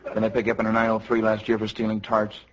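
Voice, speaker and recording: An actor playing a deadpan knight who talks like a clipped, polite police detective in a 1950s radio parody. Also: male voice, on the low side, quick pace, crowd noise, natural voice. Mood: deadpan, businesslike. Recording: dull, very noisy 1950s radio recording.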